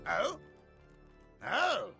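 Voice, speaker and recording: A woman voicing a manic, murderous wind-up toy in a game, with a shrill, creaky voice and gleeful cackling over music. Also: very animated, very slow, heavily acted. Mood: surprised, amused. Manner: gleeful cackling, exclaiming in short bursts.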